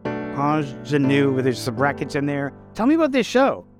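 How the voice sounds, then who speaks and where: A man speaks as a podcast host. His pitch is lively and varied, sitting in an ordinary male register as he presents and interviews. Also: normal speed, music in the background. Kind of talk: scripted podcast narration and interview.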